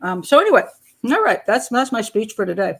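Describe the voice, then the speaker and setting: A woman's voice: on the high side, sing-song, a little fast, gravelly, a bit bright, breathy edge. Older woman chatting candidly. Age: 60s. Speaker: host of a crime-profiling channel. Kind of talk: casual live chat with patrons.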